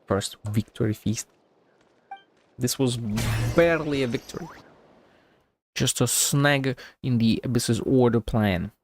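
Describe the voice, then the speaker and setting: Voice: mid-range voice, takes it slowly, long pauses, a little hiss or hum. Casual game streamer thinking aloud. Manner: informal, slangy, thinking aloud. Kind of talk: casual playthrough commentary. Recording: game music faintly behind.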